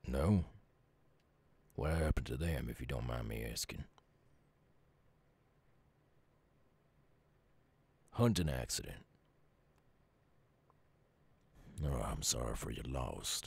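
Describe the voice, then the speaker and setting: A man playing a gruff, lonely but gentle werewolf with a Southern US accent in an ASMR roleplay, speaking softly and haltingly. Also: animated, heavily acted. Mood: subdued. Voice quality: gravelly, very deep, husky.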